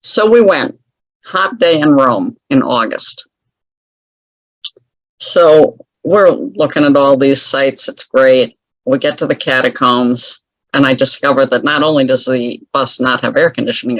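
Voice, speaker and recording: A woman's voice: a bass voice, a little slow, no room sound, poor audio. A woman telling a personal story as an interview guest, chatty and wry, laughing often at her own remarks. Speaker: guest author.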